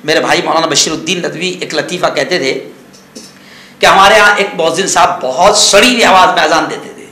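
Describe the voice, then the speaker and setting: High, bright-voiced male cleric, echoey. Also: brisk. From India. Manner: exhortative, scolding, conversational with rhetorical questions and repetition. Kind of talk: informal sermon-like news commentary.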